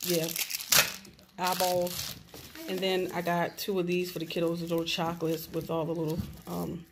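A woman's voice: on the low side, completely flat delivery, normal speed, background noise. A woman in her thirties filming a casual grocery haul on her phone, chatting as she lists each item. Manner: chatty, lists items as she shows them. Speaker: vlogger mom. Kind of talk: casual shopping vlog and haul. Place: in a store, then at home. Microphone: handheld phone camera. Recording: loud crinkling of bags. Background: crinkling packaging.

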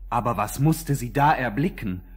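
Husky man narrating a fairy tale. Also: medium pitch, expressive, normal speed. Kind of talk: storybook narration in a children's radio play.

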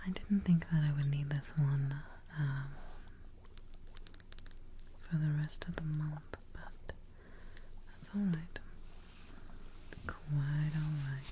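A young woman playing a gentle, reassuring witch in an ASMR role play, speaking softly and breathily, close to the microphone. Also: low-pitched, fairly even intonation, slight background noise. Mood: soothing, calm. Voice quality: soft, breathy, whispery. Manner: slow, reassuring, unhurried. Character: gentle, soothing witch tending a patient.

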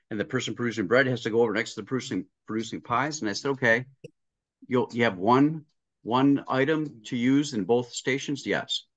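A man's voice: mid-range voice, fairly bright tone, dry recording. A middle-aged man on a video call, telling work stories step by step and acting out the dialogue. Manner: explains step by step, acting out dialogue, frequent restarts. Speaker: restaurant consultant guest. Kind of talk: casual interview storytelling. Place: home room with a painting behind him. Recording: dry, muffled video call audio.

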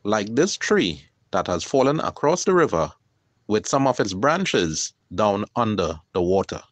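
A middle-aged man, calmly narrating his own kayak outing in a measured, explanatory voice-over. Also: lowish voice, no room sound. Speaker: kayaker and channel host.

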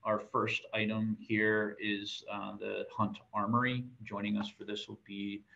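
A man chairing a board meeting over a video call, calling motions in a brisk, procedural way. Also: lowish voice, little pitch movement, vocal fry, pushed and bright. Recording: dull lo-fi call audio.

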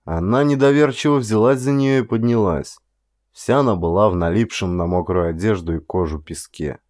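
A man with a very low voice reads a dark story aloud for an audiobook. His delivery is dramatic and expressive, and he lifts his voice for the lines of dialogue. He is from Russia and records close to a studio microphone. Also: moderate pace. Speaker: audiobook narrator.

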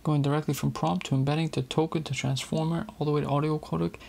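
A man hosting a casual tech video, explaining software in a chatty, candid way. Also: a little high, little pitch movement, fast, gravelly. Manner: chatty, candid about frustrations.

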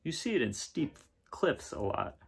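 A man's voice: medium pitch, very animated, unhurried, creaky, husky, exaggerated voice. A man voicing an opinionated, bossy little farm girl from a storybook, emphatic and matter-of-fact. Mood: decided. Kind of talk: storybook read-aloud.

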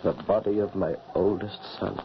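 A man playing a gruff, anguished peasant father and hunter, melodramatically acted for 1940s radio. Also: low voice, fairly even intonation, moderate pace. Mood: grieving, bitter. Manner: melodramatic, commanding. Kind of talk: 1940s radio drama acting. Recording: muffled, noisy 1940s radio recording.

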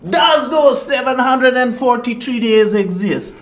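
Slow, creaky pompous guru. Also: male voice, lively intonation. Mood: mystical, intense. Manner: grandiose, theatrical, from hushed to booming. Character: pompous, intense pseudo-philosopher guru. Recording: faint background noise.

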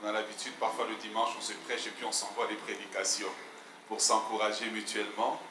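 A man in his forties with a mixed Canadian and Belgian French accent, a guest pastor opening his sermon in a measured, hesitant way on a handheld mic. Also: fairly low pitch, level tone. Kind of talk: sermon introduction. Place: large church hall, indoors. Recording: echoey church hall, noisy.

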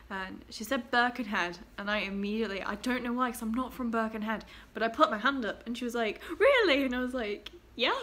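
A woman's voice: a little high, fairly bright tone. A young woman from England chatting casually to her webcam in a small bedroom, giggling and trailing off into fillers. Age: young adult. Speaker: vlogger. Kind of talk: casual chat to camera. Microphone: laptop webcam at desk.

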